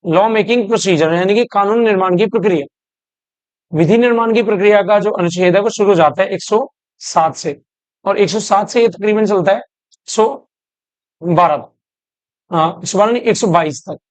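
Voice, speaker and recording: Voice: medium pitch, no room sound. Teacher drilling exam facts, dry sound. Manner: asks and answers own questions; drills key terms word by word. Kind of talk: exam-prep lecture.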